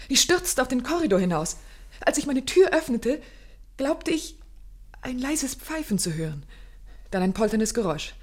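An actress playing an anxious, grieving young gentlewoman who tells her story formally and intensely in a German radio play. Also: a little high. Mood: haunted, tense. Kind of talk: German radio play acting, dramatic first-person narration.

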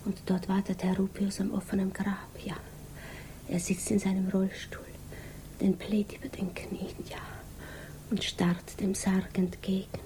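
A woman's voice: medium pitch, little pitch movement, unhurried, warm tone, in a whisper, close to an everyday voice, low-quality recording. An actress playing an anxious, brooding young widow, voicing her obsessive thoughts in a 1950s radio drama. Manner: murmured inner monologue with urgent outbursts. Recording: faintly hissy 1950s radio recording.

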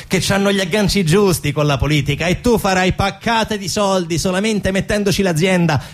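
Actor as a brash, cynical building contractor. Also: male voice, a little high, close to an everyday voice. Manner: colloquial, persuasive, dismissive. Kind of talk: theatrical dialogue.

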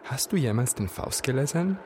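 A man's voice: mid-range voice, animated, normal speed, darkish tone, a little hiss or hum, natural voice. A man playing an author in a radio play, reciting a scripted text solemnly. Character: male author reciting his manifesto and verse. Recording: music under the voice.

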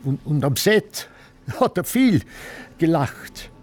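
A man's voice: on the high side, sing-song, exaggerated voice. An actor playing a hesitant, rambling old film director, stammering as he reminisces in a radio drama. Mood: wistful, reminiscing. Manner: halting, stammering, searching for words. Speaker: guest on a show.

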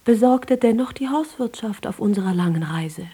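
An actress playing a frail, yearning young woman, once a slave, in a poetic, theatrical and often breathy radio drama style. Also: medium pitch, expressive, moderate pace, dark tone. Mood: tender, wistful. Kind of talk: literary German radio drama acting.

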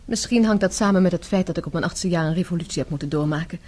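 An actress playing a composed, tough-minded young wife in a radio drama, explaining herself articulately. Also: female voice, on the low side, very fast, warm tone, close to an everyday voice. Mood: confiding, assured. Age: young adult. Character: self-possessed, tough-minded young wife explaining her undercover scheme.

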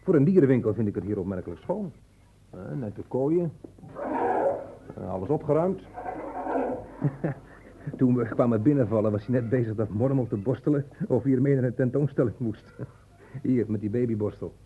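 A man's voice: mid-range voice, normal speed, long pauses, husky, narrow, tinny audio. An actor playing an easygoing, wryly joking male police inspector in a Dutch radio play. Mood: casual, musing. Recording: faintly noisy old radio recording.

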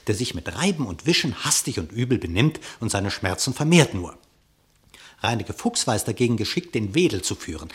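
An actor playing a storyteller, narrating a radio-play animal fable in verse with theatrical, vivid declamation and a husky voice. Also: male voice, mid-range voice.

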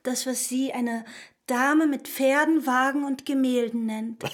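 An actress playing a playful, teasing young lover in a literary radio drama, with a theatrical manner. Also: female voice, high-pitched, steady tone, unhurried. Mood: amused, teasing. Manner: playful, theatrical, declaiming verse. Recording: loud music behind the voice. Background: music with violin.

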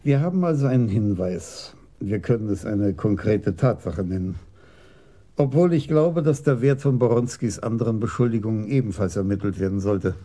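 An actor playing a methodical, commanding police chief in a German radio crime drama, speaking in a deliberate, formal way. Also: male voice, on the low side, expressive, dark tone, close to an everyday voice. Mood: deliberate, probing.

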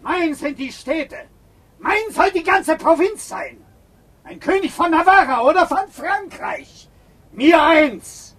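A raging marshal of a radio drama in an extremely high-pitched voice. He curses and threatens with bombast, drawing the words out slowly. The voice stands some way from the microphone. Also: expressive, distant mic, exaggerated voice. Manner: shouting, cursing, bombastic. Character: raging, power-hungry marshal, cursing enemy of the king. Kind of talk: German radio play acting.

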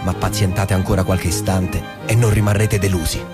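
An actor playing a dry, deliberate narrator and office husband in a literary stage drama, reciting in a theatrical way. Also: male voice, low voice, fairly even intonation, close to an everyday voice. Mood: wry, confiding. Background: music.

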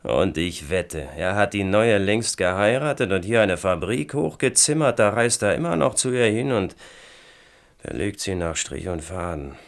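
An actor playing a gruff, distrustful, embittered male recluse and inventor in a German radio play. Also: sits low, expressive, normal speed. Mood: confiding, subdued. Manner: snappish, distrustful.

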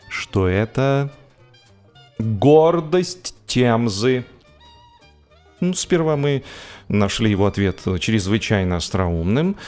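A man narrating a humorous literary classic in an expressive, theatrical and wry way, with deliberate phrasing. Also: medium pitch. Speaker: audiobook narrator and actor. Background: music.

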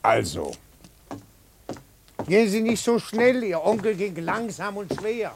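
A noisy 1950s radio drama recording of a methodical, incorruptible male police inspector. His voice is fairly high with a strong creak, and he speaks in a dry, deliberate, matter-of-fact way. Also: very animated, heavily acted. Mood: brisk, recounting. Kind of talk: 1950s German radio play acting.

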